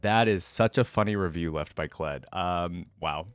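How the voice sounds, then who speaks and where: A man hosting a reaction video in an informal way, reading passages aloud and pausing to comment on them. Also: low-pitched, clear, resonant tone, no echo.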